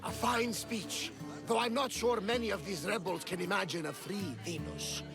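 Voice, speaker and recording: An actor playing a jovial older ship captain in a game cutscene, hearty and boisterous, with a gravelly voice. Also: male voice, high-pitched, normal speed. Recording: game cutscene with music behind.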